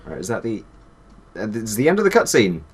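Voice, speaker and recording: A man chats as a gaming co-host. His voice sits fairly low, and his casual banter is dry and joking. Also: huge pitch swings, a little fast, breathy edge.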